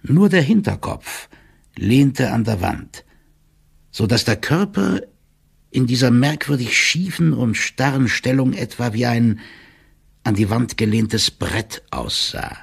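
An actor playing a stout official who reads out a stiff, drily ironic report in an audio drama, in a gravelly, husky, measured voice. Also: male voice, medium pitch, animated, full character voice. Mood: uneasy, measured. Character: stout male official giving a stiff, wry written report.

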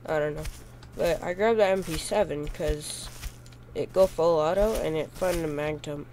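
A man's voice: on the high side, normal speed, a little mellow, a little hiss or hum. A young man with a stuffy, cold-blocked voice, rambling through casual gameplay commentary with lots of goofy self-corrections. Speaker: gaming YouTuber.

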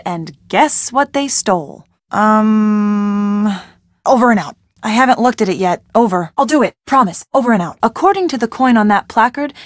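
A woman's voice: mid-range voice, no echo, studio quality, natural voice. An actress playing a spirited, earnest young woman in a video game, a loyal and anxious friend who is wry and plucky.

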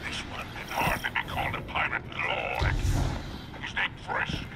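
An actor playing a greedy, calculating alien crime boss in a video game, with a gravelly, breathy growl and a smug, menacing manner. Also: male voice, measured pace, very noisy, natural voice. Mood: calculating, contemptuous.

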